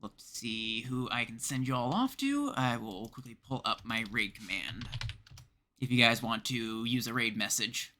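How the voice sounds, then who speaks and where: A man's voice: medium pitch, lively intonation, normal speed, sharp tone. A man from Canada chatting casually as he livestreams a game.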